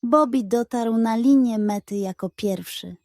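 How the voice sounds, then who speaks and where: A woman reads a children's bedtime tale at an unhurried pace. Her voice is fairly high and mellow, recorded close and dry. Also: no room sound. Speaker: storyteller. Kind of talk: scripted bedtime story narration for children.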